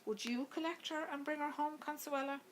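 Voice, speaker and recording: A woman's voice: high-pitched, steady tone, moderate pace, a little hiss or hum, pitched above the performer's own voice, natural voice. A woman voicing an exasperated, self-important husband in an audiobook story, with a clipped, insistent manner. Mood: hesitant.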